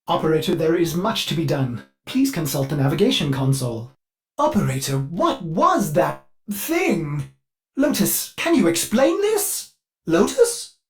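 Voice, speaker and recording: An actor voicing a servile, anxious-to-please ship artificial intelligence in a video game, polite, formal and theatrical. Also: male voice, fairly high pitch, big pitch swings, measured pace. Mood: dutiful, then alarmed. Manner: polite, formal, theatrical, speaks of himself in third person. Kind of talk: scripted video game character lines.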